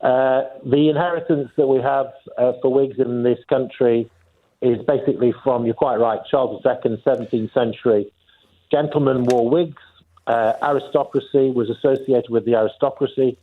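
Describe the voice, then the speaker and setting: A man's voice: mid-range voice, fairly even intonation, moderate pace. A man calling a radio phone-in, giving an informed explanation in an emphatic, matter-of-fact way with blunt corrections. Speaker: phone-in caller, lawyer. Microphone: telephone. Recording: thin phone line.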